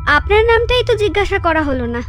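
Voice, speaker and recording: A woman playing a young female traveller in an animated horror story. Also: extremely high pitch, fairly quick, soft background music, full character voice. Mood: polite, friendly. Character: young woman traveller, polite and friendly, later defiant captive.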